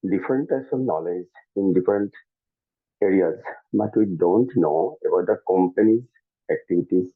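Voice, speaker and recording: A man's voice: on the low side, no room sound. A man with an Indian accent calling in live to ask a question, speaking politely and deferentially. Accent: Indian English. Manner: polite and deferential, keeps saying sir. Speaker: caller, partner asking a question. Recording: dry, phone-like call line.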